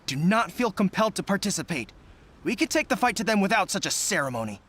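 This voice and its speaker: A man's voice: sits high, very animated, quick pace, a bit bright, slight background noise. A man playing an earnest, loyal young resistance general in a video game, speaking with resolute conviction. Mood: earnest, explaining. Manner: impassioned, resolute. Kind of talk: scripted video game dialogue.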